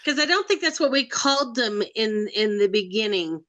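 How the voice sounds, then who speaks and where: A woman's voice: fairly high pitch, expressive, moderate pace, fairly bright tone. A woman co-hosting a podcast, chatting casually with brief remarks and frequent laughter. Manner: brief interjections, laughs often. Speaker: podcast co-host. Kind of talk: casual chat about a TV show.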